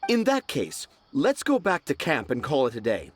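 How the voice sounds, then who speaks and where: A man's voice: medium pitch, wildly swooping pitch, moderate pace, a little hiss or hum. A man voicing a grandiose, stubborn young dreamer in a video game, speaking in a florid, confident, theatrical way. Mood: agreeable. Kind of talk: video game character acting.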